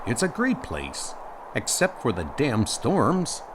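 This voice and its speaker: A man's voice: mid-range voice, huge pitch swings, slow, background noise. A man voicing a resilient colony leader in a comic audio drama, familiar and plain-spoken. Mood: stubborn, familiar. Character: rugged, resilient male colony leader, captain's old friend.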